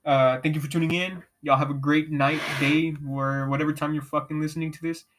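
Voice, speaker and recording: A young man with a fairly high, somewhat raised voice hosts a loose, unplanned podcast. He talks in easy slang, peppered with "bro" and cursing. He laughs often as he goes. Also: level tone, fairly quick, a little hiss or hum. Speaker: podcast host. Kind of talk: casual chat with heavy swearing.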